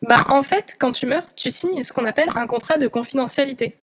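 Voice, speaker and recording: A man's voice: very high voice, fairly quick, a bit bright, voice pushed up. A man voicing an eccentric, cheeky young female ghost in an amateur cartoon, with a perky, playful, exaggerated delivery. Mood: matter-of-fact.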